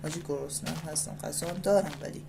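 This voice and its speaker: A woman with an Iranian Persian accent giving casual, rambling gameplay commentary as a video host, often repeating short phrases excitedly. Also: low-pitched, gravelly. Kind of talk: casual gameplay commentary, talking to self and viewers. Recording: loud background noise and game ambience.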